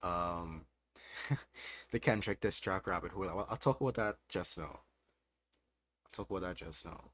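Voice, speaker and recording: A man's voice: low-pitched, normal speed, long pauses. A young man with a Trinidadian accent, hosting a casual livestream and answering chat questions while thinking aloud. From Trinidad and Tobago. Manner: relaxed, thinking aloud with fillers. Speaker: livestream host.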